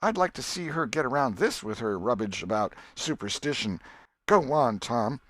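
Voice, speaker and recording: A man's voice: medium pitch, very animated, moderate pace, a bit bright, no room sound, voice pushed up. A man voicing a pious, scolding yet affectionate old country aunt with a rural Southern American accent in an audiobook. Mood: amazed, eager. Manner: exclamatory, folksy, emphatic. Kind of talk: audiobook character voice.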